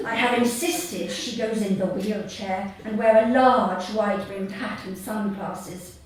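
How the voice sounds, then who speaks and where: A woman reading her comic short story live to an audience, in a deadpan, measured way with deliberate pauses. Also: mid-range voice, crowd noise. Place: theatre stage at a live reading event. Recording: echoey hall, distant mic, audience noise. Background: audience laughter.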